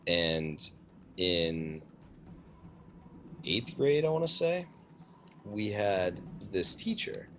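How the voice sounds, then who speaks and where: A man's voice: sits low, a bit bright. A man telling a casual personal story in a hesitant, rambling way, as a streamer chatting. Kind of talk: casual rambling anecdote told in conversation. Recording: clearly noisy background.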